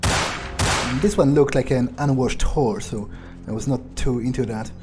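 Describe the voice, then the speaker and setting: A man's voice: medium pitch, measured pace, over music, lightly acted. A man improvising in character as a cocky, callous vampire while playing a video game, drawling and scornful. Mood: callous, musing. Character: cocky, callous male vampire roleplayed by a gamer. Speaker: gamer roleplaying in a playthrough.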